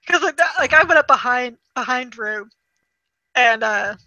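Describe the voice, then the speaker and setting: A woman co-hosting a podcast, chatting casually and telling stories in an animated way. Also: on the high side, normal speed, dry recording, highs cut off. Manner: animated storytelling with acted-out quotes. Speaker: podcast co-host. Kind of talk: casual chat about films and video games.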